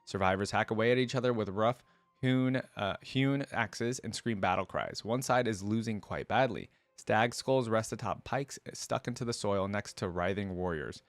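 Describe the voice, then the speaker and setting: A man's voice: on the low side, moderate pace, no echo. A man reading a story aloud as a reaction creator, breaking into casual commentary to pick it apart. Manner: stops to dissect and paraphrase what he reads. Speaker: video creator reacting to game lore.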